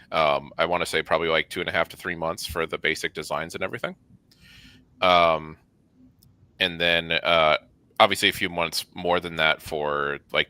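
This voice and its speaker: A man with a low-pitched, strikingly bright and forceful voice answers questions casually as an interview guest. The recording is dry and free of echo. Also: clean tone. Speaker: guest, comics and art studio member.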